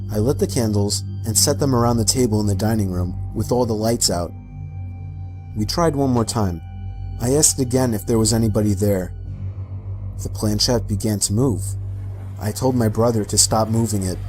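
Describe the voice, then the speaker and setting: A man reading a first-person horror story in a steady, matter-of-fact way. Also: fairly low pitch. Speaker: narrator.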